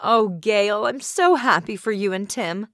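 Woman playing a slow, emphatic mom with a fairly high, dry voice. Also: no room sound, natural voice. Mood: gentle. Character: worried middle-aged mother, alarmed and pleading.

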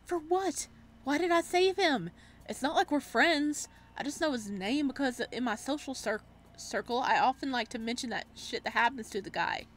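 A woman plays a young student from a visual novel who has had enough. The voice is high and forceful. The delivery is indignant and scolding. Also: animated, normal speed, a little hiss or hum. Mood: exasperated.

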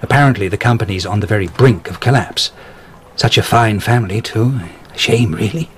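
An actor playing a stammering, fawning records clerk. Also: male voice, fairly low pitch, a little fast, a little hiss or hum, natural voice. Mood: nervous, obliging. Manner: hesitant and stammering. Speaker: actor in radio drama.

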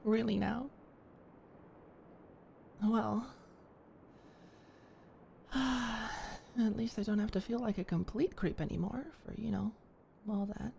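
Shy, stammering young woman, gravelly edge. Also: fairly high pitch, fairly even intonation, slow pace, pauses often, a little hiss or hum. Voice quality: soft whispering at times. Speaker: ASMR roleplay performer. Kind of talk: ASMR roleplay, one-sided dialogue.

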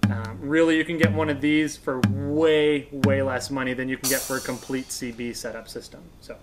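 A man's voice: medium pitch, flat delivery, moderate pace, music in the background. An American man in his thirties, explaining things informally as a knowledgeable guest, in a conversational way. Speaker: guest radio expert and instructor. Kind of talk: casual explanation. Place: indoors on a couch in a workshop room.

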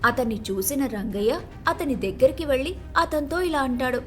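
A woman's voice: sits high, normal speed, faint music behind. A woman narrating a scripted moral story in storybook style.

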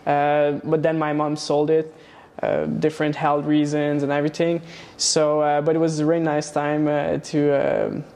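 A young man from Romania, a guest in a studio interview, talking casually with many pauses and restarts. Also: on the high side, monotone, darkish tone. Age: young adult. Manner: hesitant, many fillers and restarts. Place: studio set with plant wall and neon sign. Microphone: lapel mic.

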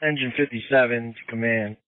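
A man's voice: medium pitch, steady tone, fairly quick. A man from a fire engine crew checking in over emergency radio. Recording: narrow, tinny two-way radio.

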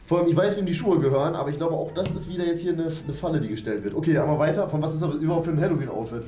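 A man's voice: on the high side, rapid-fire, warm tone. A man in his twenties hosting a casual game show with friends, chatting playfully and teasing them. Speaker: host of a YouTube challenge show. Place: small indoor studio room. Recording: echoey room with music behind. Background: music.

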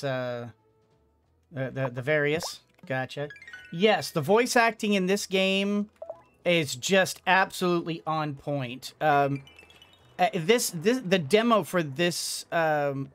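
A man's voice: a little high, fairly bright tone, clean tone. A middle-aged man giving casual let's play commentary, hesitant and musing to himself as he plays. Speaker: gaming video host. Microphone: microphone on boom arm, headset. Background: game music.

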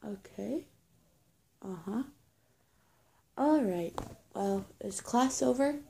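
A young woman improvises one side of a roleplay scene. Her voice is husky and on the dark side, slightly muffled by a scarf, and she takes her time with unhurried delivery. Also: fairly high pitch, lively intonation. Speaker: amateur roleplay video creator. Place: small plain indoor room. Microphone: phone or webcam at arm's length.

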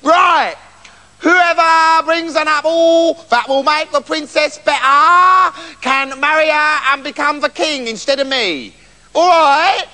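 Man as a blustering, high-voiced fairy-tale king. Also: slow, sharp tone, full character voice. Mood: proclaiming. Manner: indignant, commanding.

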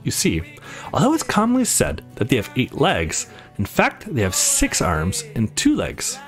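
A man's voice: mid-range voice, wildly swooping pitch, unhurried, soft background music. A man voicing a wistful, reflective young visual novel character who loves nature trivia. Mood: playful.

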